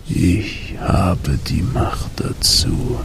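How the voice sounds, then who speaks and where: A man voicing a menacing, taunting spirit lord of thunder in a slow, domineering, rasping whisper for a story. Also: low-pitched, lively intonation, pitched below the performer's own voice, heavily acted. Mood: commanding threat.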